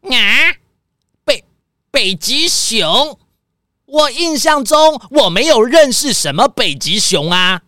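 A spoiled, pompous rich man, voiced by a woman, whiny and dramatic with a Taiwanese Mandarin accent. The voice is high, sharp and drawn out, as in a comic children's radio play. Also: very animated, slow pace, no room sound, studio quality, heavily acted. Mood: indignant, whiny.

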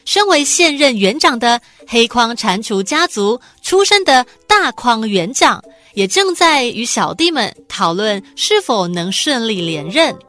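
A woman's voice: fairly high pitch, very animated, unhurried, few pauses, fairly bright tone. A woman narrating a radio drama in a scripted storybook style.

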